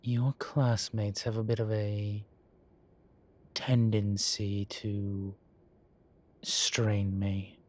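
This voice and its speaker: Slow, low-voiced urbane demon professor, played by a man. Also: level tone, darkish tone. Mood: weary, fond. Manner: measured, formal, deliberate pauses, genteel. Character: urbane male demon professor, erudite, wryly amused, unhurried. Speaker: professor lecturing a class. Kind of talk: scripted audio roleplay, lecture and conversation.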